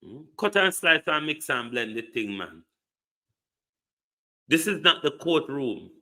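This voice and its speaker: A man's voice: a little high, big pitch swings, unhurried, bright, forceful tone. A man giving an emphatic political commentary monologue, full of repeated rhetorical questions. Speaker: online commentator.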